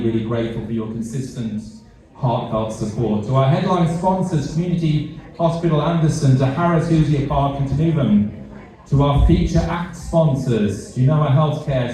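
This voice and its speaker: A man's voice: medium pitch, mellow. A middle-aged British man giving a fundraiser speech. He repeats words and pokes fun at himself. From Britain. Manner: halting, repeats words, self-deprecating. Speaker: charity CEO giving a speech. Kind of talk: fundraiser speech with light humour. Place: large event hall. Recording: echoey hall, mic heard from a distance.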